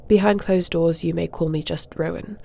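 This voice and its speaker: Woman as a low-voiced, regal warrior princess. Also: steady tone, creaky, mellow, slight background noise. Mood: earnest, resolved. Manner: measured, regal, diplomatic. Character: formal, dutiful warrior princess and dragon shifter. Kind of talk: scripted audio roleplay acting.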